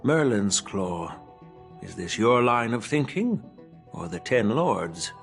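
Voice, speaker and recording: A man voice actor playing an unhurried general who presides deliberately over an inquiry in a video game. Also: lowish voice, big pitch swings, creaky, soft background music, heavily acted. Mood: questioning. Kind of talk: scripted video game voice acting.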